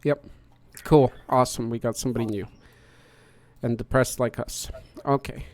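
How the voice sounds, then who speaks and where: A man's voice: mid-range voice, fairly even intonation, moderate pace. Chatty man hosting a livestream. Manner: chatty, upbeat, talks through setup. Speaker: podcast host. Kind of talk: casual livestream chat.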